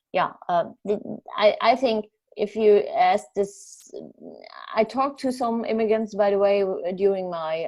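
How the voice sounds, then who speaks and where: Female guest speaker. Slightly low voice, dry sound, informal answers with halting word searches. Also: darkish tone, no room sound. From Germany or the Netherlands. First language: German. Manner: halting, searching for words, frequent self-repairs. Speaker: journalist and author, guest speaker. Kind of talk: informal spoken talk answering audience questions. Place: online video call.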